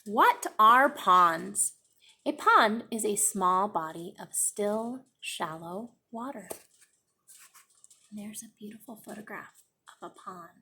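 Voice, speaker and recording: A young woman teacher reading a picture book aloud for children in a classroom, pausing to explain words and pages. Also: mid-range voice, husky. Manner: slow, expressive storytime reading with explanations.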